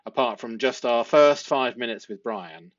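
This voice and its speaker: A man's voice: medium pitch, moderate pace. Man analysing, dull lo-fi recording. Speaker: host analysing a police interview. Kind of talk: analytical commentary to viewers.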